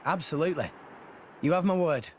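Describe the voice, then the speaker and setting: A man playing an eager, high-voiced teenage boy adventurer. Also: fairly quick, slight background noise, natural voice. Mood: upbeat, confident. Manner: casual, eager, informal speech. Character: upbeat, earnest teenage boy salvager and trader. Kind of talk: video game cutscene acting.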